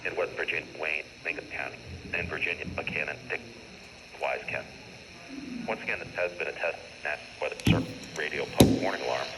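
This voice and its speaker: A man's voice: rumbling low, fast talker, very noisy. A man reading a scripted public service announcement in a formal, robotic way over a staticky weather radio.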